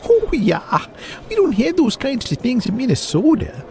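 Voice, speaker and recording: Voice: high-pitched, huge pitch swings, normal speed, creaky, dark tone, slight background noise, exaggerated voice. An actor playing a modest, flustered wrestler's wife who is bashful, folksy and apologetic in an audio drama. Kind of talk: audio drama character acting.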